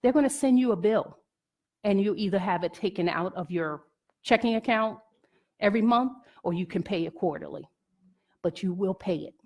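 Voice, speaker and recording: A woman's voice: medium pitch, normal speed, darkish tone. A woman giving an informal educational talk as a presenter, explaining step by step with emphasis and checking that listeners follow.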